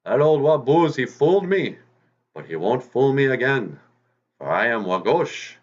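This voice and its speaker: A man voicing a proud, boastful male fox hunter who is easily fooled, exclaiming loudly. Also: mid-range voice, very animated, unhurried, voice pushed up. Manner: loud, blustering, exclaiming. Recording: dull lo-fi recording.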